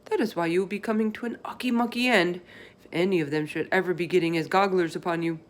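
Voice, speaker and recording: Woman voicing an emphatic, excitable friendly giant. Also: fairly low pitch, fast, lightly acted. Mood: excited, indignant. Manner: emphatic, garbled folksy grammar with made-up words. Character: big friendly male giant, earnest, excitable, muddled speech.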